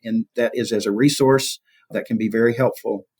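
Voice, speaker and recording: A man's voice: mid-range voice, steady tone, moderate pace, a little mellow, slightly rough. A man speaking as an expert interview guest, explaining things in a conversational, reflective way and rephrasing as he goes.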